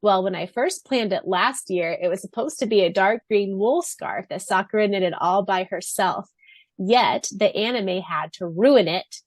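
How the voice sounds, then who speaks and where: A woman's voice: mid-range voice, normal speed, no room sound. Woman podcast co-host, close dry sound. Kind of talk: casual fan podcast chat and author's note reading.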